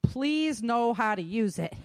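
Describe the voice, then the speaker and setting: A woman's voice: fairly high pitch, expressive, slow, no echo, clean studio sound. A woman giving casual, conversational advice in an emphatic, plain-spoken manner. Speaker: guest sharing self-defense advice.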